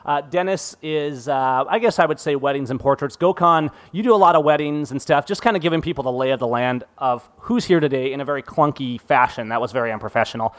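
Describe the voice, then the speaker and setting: A man's voice: mid-range voice, fast talker, hardly any pauses. A man hosting a casual photography panel podcast, upbeat and joking at his own expense. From Central Washington. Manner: upbeat, joking, self-deprecating. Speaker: podcast host. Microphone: studio podcast mic.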